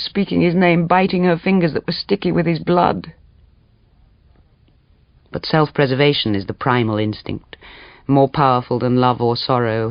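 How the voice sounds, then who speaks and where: A man reading a literary story aloud as dramatic audiobook narration, measured and expressive. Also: on the high side, no room sound, muffled recording. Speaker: audiobook reader.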